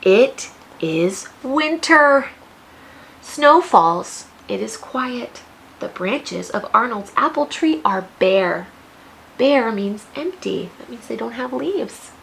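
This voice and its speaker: An American woman teacher reading a picture book aloud to young children, expressive, with questions and teaching asides. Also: fairly high pitch, slow pace, vocal fry, a little hiss or hum, poor audio.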